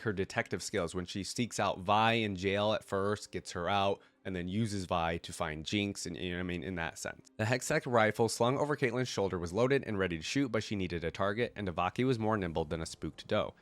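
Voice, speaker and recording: Guy w a stuffy cold doing casual reaction commentary, lowish voice, dry close sound. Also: no echo. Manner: informal, thinking aloud, addressing viewers. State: congested with a cold. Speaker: reactor reading game lore aloud and commenting. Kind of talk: casual reaction commentary mixed with story reading.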